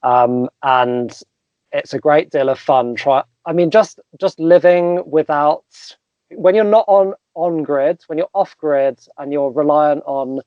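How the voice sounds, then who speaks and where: A young man, a coach guest in an interview, talking thoughtfully with many pauses, fillers and restarts. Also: fairly high pitch, steady tone, dry recording, muffled recording. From England. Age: young adult. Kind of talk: casual interview chat.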